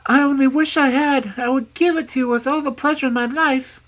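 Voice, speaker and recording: Woman doing a very high, plaintive young princess. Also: steady tone, fairly quick, natural voice. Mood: distressed. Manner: plaintive, pleading, polite. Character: meek, sorrowful young princess, pretty and pleading. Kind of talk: storybook character voice.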